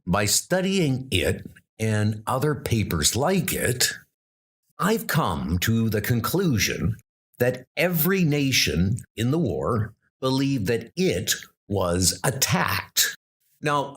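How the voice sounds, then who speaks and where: A man playing an earnest schoolteacher-historian in a comic adventure, deliberate and probing. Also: mid-range voice, very animated, fairly bright tone, husky, full character voice. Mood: earnest, puzzled. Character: earnest, bookish male schoolteacher turned wartime historian.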